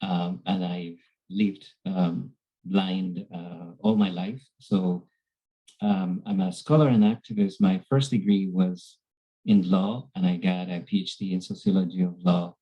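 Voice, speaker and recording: Deep husky middle-aged man. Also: steady tone, unhurried, highs cut off. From Venezuela. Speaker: panelist, scholar. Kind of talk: panel self-introduction.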